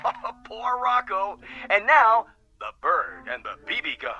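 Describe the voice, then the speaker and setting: An actor playing a ghoulish, gleefully cruel television host in a radio drama, with theatrical, cackling announcer patter. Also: male voice, sits high, sing-song, measured pace, lo-fi sound, music in the background, a crowd in the background. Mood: gleeful showman.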